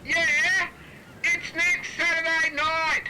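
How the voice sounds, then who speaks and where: Man voices a high, slow-talking manager on a noisy megaphone; brisk radio talk. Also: flat delivery. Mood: breezy. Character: male manager calling over a megaphone from a water trike.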